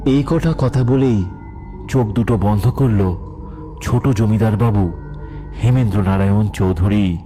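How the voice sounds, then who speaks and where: A man's voice: on the low side, measured pace, pauses often, dark tone. A man narrating an emotional children's story from a script as the storyteller. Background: faint music.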